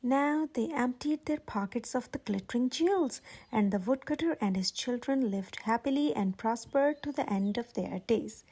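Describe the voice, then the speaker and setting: A woman with a high-pitched voice narrates a fairy tale for children. She reads it aloud as a storyteller would from a picture book. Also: animated, normal speed, hardly any pauses, warm tone, slightly rough. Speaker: storyteller, channel host.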